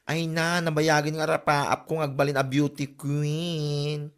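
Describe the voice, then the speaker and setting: A woman's voice: low voice, level tone, a little slow. A woman with an Ilocano accent playing a curious woman who dreams of becoming a beauty queen. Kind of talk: comedy drama acting.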